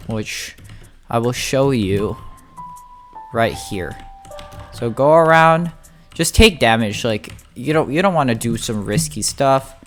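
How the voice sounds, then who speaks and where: Casual gaming guide narrator: mid-pitched, informal, chatty walkthrough commentary over background music. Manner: chatty, informal, addresses viewers as buddies. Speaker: gamer making a tutorial. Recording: music playing behind the voice.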